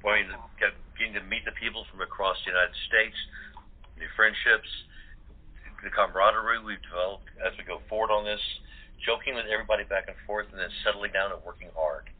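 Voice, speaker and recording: Husky low-voiced man on a phone line. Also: steady tone, fast. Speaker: radio-play cast member, interview guest. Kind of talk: casual interview chat. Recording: thin phone-line audio.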